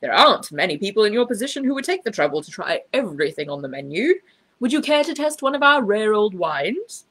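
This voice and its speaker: Storybook manager, male, imposing and oily, effusive delivery with big pitch swings and a slightly husky edge female reader. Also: big pitch swings, fairly quick, a bit bright, no room sound. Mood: oily, respectful. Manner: booming, unctuous, emphatic. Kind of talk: children's storybook read aloud.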